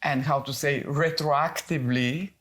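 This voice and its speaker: A woman's voice: sits low, steady tone, unhurried. A woman in her fifties chatting as podcast co-host, reflective and sometimes searching for words. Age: 50s. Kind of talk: casual conversation.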